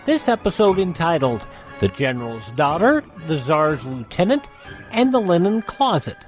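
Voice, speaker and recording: A male radio host reads a scripted introduction. His voice is fairly high and very varied in pitch, with faint music behind it. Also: big pitch swings, normal speed, poor audio.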